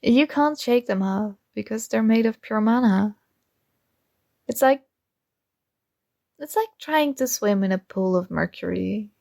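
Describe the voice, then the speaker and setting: A possessive young princess, sweetly controlling and teasing, as a woman plays her in an ASMR roleplay. Her voice sits a little high with a gravelly fry, and she takes her words very slowly. It is recorded close and dry. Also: expressive, no echo. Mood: sweetly commanding. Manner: soft, slow, coaxing and teasing.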